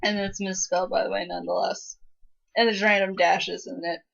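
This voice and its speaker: A woman's voice: mid-range voice, little pitch movement, brisk, gravelly. Woman co-host chatting casually, wry little comments, kinda dull home recording. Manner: wry, interjecting. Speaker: co-host commenting on fan fiction.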